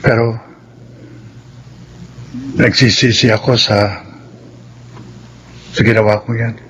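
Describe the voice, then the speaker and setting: Earnest Filipino ex-convict over background noise. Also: male voice, fairly low pitch, little pitch movement, slow pace, a bit bright, low-quality recording. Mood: remorseful. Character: earnest, remorseful ex-convict older brother, gentle and sincere. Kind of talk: Filipino radio drama acting.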